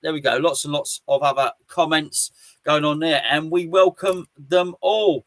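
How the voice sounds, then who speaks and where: A man's voice: on the high side, fairly even intonation, normal speed, a bit bright, no room sound. A man hosting a casual football fan show, reading out listener comments and humming fillers between them. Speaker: fan podcast host.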